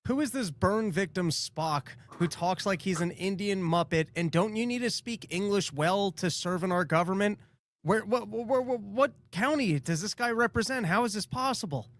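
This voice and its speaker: A man's voice: sits high, moderate pace. Man, co-host, mocking, incredulous banter. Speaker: podcast co-host.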